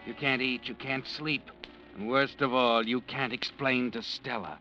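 Casting: grim, insistent narrator for radio crime drama, forceful mid-range voice, dull vintage recording. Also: male voice, mid-range voice, steady tone, a little slow. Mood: grim, goading. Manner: grave, insistent, accusing. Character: ominous inner-voice narrator, grimly goading the troubled hero. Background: faint music.

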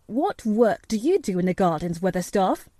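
A woman's voice: mid-range voice, sing-song, normal speed, mellow, no echo, studio quality, natural voice. A woman voicing an imperious, eager young boy who gives orders decisively in an audiobook. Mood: lordly. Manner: decisive, commanding. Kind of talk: audiobook character dialogue.

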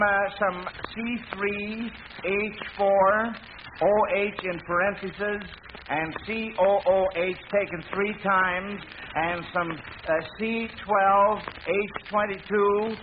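A man's voice: high voice, fairly even intonation, slow. A man hosting a 1940s radio quiz show, addressing contestants in a formal announcer's manner. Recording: noisy lo-fi vintage radio broadcast.

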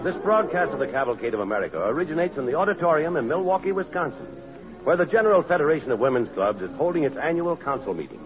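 Man, old-time radio announcer, declamatory, very lively pitch, husky, muffled vintage broadcast. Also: fast, music in the background. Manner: declamatory, emphatic delivery. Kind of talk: 1930s-40s radio announcing, scripted. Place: auditorium stage.

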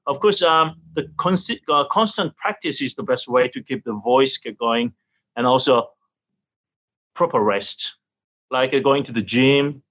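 A middle-aged opera singer from South Korea, answering interview questions in halting English and laughing often. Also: male voice, mid-range voice, fairly bright tone, dull audio. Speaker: opera singer and vocal teacher.

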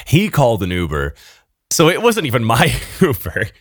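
A man's voice: mid-range voice, huge pitch swings, moderate pace, no room sound, studio quality. A man co-hosting a casual podcast, speaking English as a non-native language and telling a profane story while acting out his own lines. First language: not English. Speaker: podcast co-host.

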